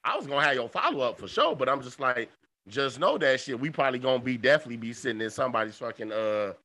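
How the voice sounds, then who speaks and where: A man's voice: mid-range voice, lively intonation, fast, bright, forceful tone. A man in his thirties co-hosting a podcast, telling rambling, profane stories with lots of fillers. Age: 30s. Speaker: podcast co-host. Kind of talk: casual chat.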